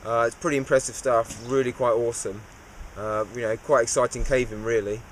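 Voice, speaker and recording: A man's voice: medium pitch, fairly quick, noisy background. A man, recapping a cave dive in an easygoing, understated way with lots of fillers. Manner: relaxed, understated, many "uh" fillers. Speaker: cave diver. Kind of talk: interview recap.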